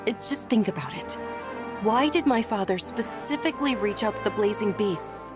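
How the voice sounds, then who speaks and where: A woman playing a gentle, dutiful young noblewoman, polite and resolute, speaking in a measured way in an anime-style game. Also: mid-range voice, lively intonation, music in the background, close to an everyday voice. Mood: thoughtful, probing.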